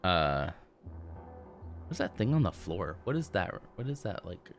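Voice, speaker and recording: A man's voice: low voice, very animated, a little slow, soft background music. A man streaming a game, joking and chatting as he plays, laughing often. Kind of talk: casual let's play commentary.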